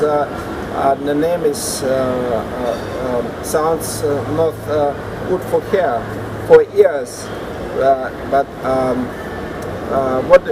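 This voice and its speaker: Slow, hesitant man. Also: on the high side. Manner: halting, heavy hesitation fillers. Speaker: co-host. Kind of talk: casual chat reviewing startups. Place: indoor atrium of a large building. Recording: noisy ambience in a large space.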